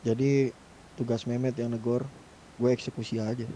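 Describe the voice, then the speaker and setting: A man's voice: medium pitch, little pitch movement, normal speed, warm tone, a little hiss or hum, rough recording. A man casually leading a discussion among gamers in an online roleplay meeting. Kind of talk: casual in-game meeting talk.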